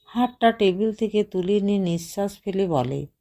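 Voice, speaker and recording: A woman narrating a short story as an audiobook, reading in a measured way and softly voicing the dialogue. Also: mid-range voice, animated.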